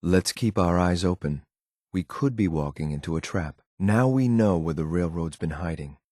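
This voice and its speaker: A cold, dutiful male synth agent from a video game in a low-pitched voice. The delivery is clipped, formal and deadpan, measured throughout. The recording is very dry and close. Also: dry recording. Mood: reserved, polite. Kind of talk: scripted video game companion lines.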